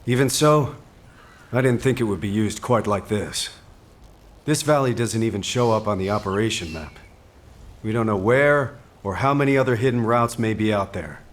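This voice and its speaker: Man voicing a low-voiced, composed military officer. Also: normal speed, lightly acted. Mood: grave, concerned. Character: composed military officer briefing troops, grave and caring. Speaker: mission commander giving briefings. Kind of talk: scripted video game dialogue.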